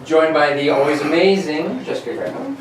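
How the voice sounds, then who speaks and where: Casual male show host, off-mic in a reverberant room with clear background noise. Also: fairly high pitch, a little fast. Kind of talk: casual comedic show introduction. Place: echoey room with several people. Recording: roomy, echoey, noisy, distant mic.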